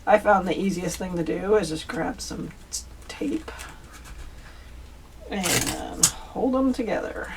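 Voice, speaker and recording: A woman's voice: lowish voice, expressive, slow pace, pauses often. A woman hosting a casual step-by-step craft tutorial, chatty and informal as she talks to viewers. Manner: chatty, informal, addresses viewers as you guys. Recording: distant mic, faint background noise.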